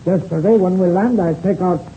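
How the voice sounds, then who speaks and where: Gravelly, proud Russian pilot. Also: male voice, high voice, normal speed, warm tone. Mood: defensive, indignant. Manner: broken English, formal and emphatic. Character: defensive foreign air-race pilot, proud and suspicious. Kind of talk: 1930s radio serial acting. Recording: muffled, noisy old-time radio recording.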